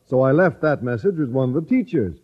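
A man's voice: medium pitch, very animated, brisk, slightly rough. An actor playing a mild, naive male teacher in an old American radio comedy, earnest and polite. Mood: cheerful, puzzled. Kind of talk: 1940s-50s radio situation comedy acting. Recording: dull old radio recording, studio audience.

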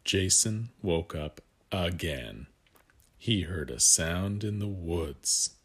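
A man reading a picture book aloud to young children, with gentle asides that guide the listener. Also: low voice, lively intonation, slow pace, husky.

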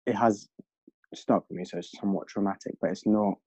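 A man's voice: fairly low pitch, fairly even intonation, fairly quick, darkish tone, husky, no echo. A young man from London with an English accent, a podcast guest reflecting earnestly in casual conversation. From London, England. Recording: dull lo-fi dry recording.

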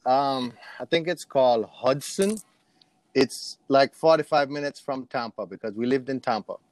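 A man's voice: mid-range voice, normal speed. A man from Jamaica chatting casually as a podcast guest, telling personal anecdotes in an easygoing way.

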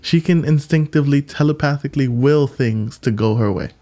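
A man co-hosting a casual movie podcast, animated and playful, often jumping in over his partner. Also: medium pitch, a little fast. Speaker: podcast co-host.

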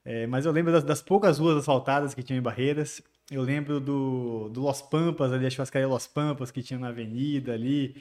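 A man talks as a guest on a casual podcast. His voice sits in an ordinary middle range. He tells his memories in a relaxed, rambling way and often adds little tag questions. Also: mid-range voice, fairly quick, few pauses. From Bahia, Brazil. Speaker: guest, construction company chief.

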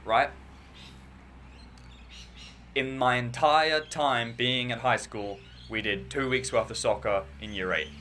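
A teenage boy with a low bass voice, chatting casually as podcast co-host, rambling and talking in bursts. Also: fairly even intonation, slow, pauses often, bright, forceful tone, a little hiss or hum. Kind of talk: casual chat.

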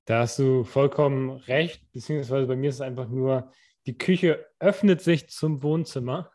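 A man co-hosting a podcast from his kitchen at home, bantering playfully and quickly in casual chat. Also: medium pitch, mellow. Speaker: podcast co-host. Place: home kitchen open to the living room.

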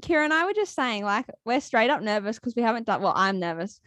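A young woman co-host with a high voice. She keeps the conversation moving with friendly questions. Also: very animated, quick pace, a bit bright. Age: young adult. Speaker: podcast co-host. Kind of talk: casual interview.

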